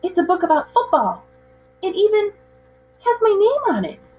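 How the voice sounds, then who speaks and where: A woman voicing an eager, excited young child in a storybook, exclaiming in wonder. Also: very high-pitched, normal speed, highs cut off, faint music behind, pitched above the performer's own voice. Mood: amazed, excited. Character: eager excited little children at a magic show.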